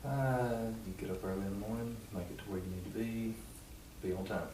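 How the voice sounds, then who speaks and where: A man's voice: lowish voice, brisk. A man around thirty answering exam questions briefly as a patient. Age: 30s. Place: small block-walled exam room. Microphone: camera a few feet away. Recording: distant, echoey room, clear noise.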